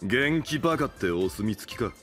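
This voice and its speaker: A male actor playing an arrogant, gruff young idol in an audio drama, curt, rough and mocking. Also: mid-range voice, expressive, normal speed, a bit bright, soft background music, lightly acted. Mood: scornful.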